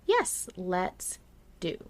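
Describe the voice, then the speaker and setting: Low-voiced woman chatting on a podcast. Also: huge pitch swings, extremely slow. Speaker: podcast co-host. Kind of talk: casual fan podcast chat.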